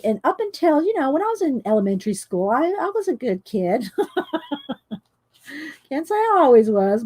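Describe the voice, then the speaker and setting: A talkative middle-aged woman whose loud voice carries, pitched a little high. She chats and rambles easily, laughing often, in a relaxed aside while she works. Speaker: coloring channel host. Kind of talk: casual chat while coloring on a livestream.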